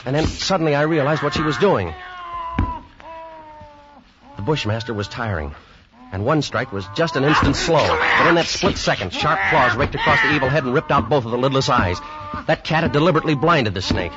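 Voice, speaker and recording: A man's voice: mid-range voice, lively intonation, brisk, very noisy, close to an everyday voice. An American actor playing a shaken man who tells of mortal danger at sea, with dramatic intensity, in an old radio play. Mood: urgent, excited. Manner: dramatic, intense first-person storytelling. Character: tense, shaken man recounting a deadly terror aboard ship. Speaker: radio drama actor narrating in first person. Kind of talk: 1940s-50s radio suspense acting. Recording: dull vintage radio recording, loud orchestral music.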